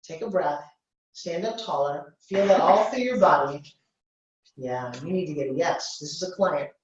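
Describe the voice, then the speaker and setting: A woman's voice: low voice, normal speed. A woman coaching a workshop live, giving short, blunt, commanding instructions and cutting in to correct. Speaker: business coach leading a workshop. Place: indoor reverberant room. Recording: echoey room, distant dull microphone.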